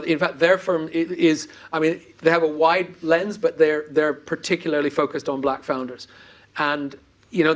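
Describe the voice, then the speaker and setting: A man moderating a panel at a live conference, earnest and halting, often restarting his sentences. His voice sits a little high for a man. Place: conference venue on stage.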